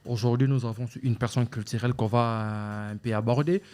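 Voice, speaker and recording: Halting Congolese man hosting a talk show. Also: medium pitch, fairly even intonation, a little mellow. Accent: Congolese French. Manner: formal, repetitive, halting with many fillers and restarts. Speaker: show host.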